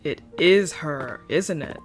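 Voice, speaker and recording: A man's voice: fairly high pitch, animated, slow, music in the background. A man playing a naive, indignant, teasing nineteen-year-old prince in a fantasy audio drama.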